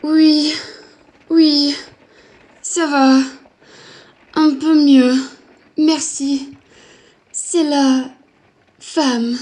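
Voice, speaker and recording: A woman playing a frail, faint genteel wife in a French radio play, speaking in halting short phrases. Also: sits high, fairly even intonation, darkish tone, poor audio. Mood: weakly relieved.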